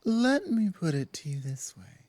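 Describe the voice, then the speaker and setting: A woman voicing a dominant but softened female werewolf pack leader in an intimate ASMR roleplay, slow, breathy, apologetic and teasing. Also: lowish voice, very animated, dry recording. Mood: seductive, teasing.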